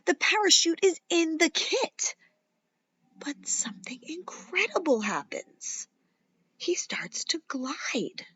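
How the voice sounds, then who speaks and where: Female storybook reader, teacher style: swooping, theatrical delivery with deliberate pauses, dry and slightly muffled recording. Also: very high voice, very animated, fairly bright tone, no room sound. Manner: dramatic, playful, with emphatic pauses and whispers. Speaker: teacher reading a children's picture book aloud. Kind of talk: expressive children's storybook read-aloud.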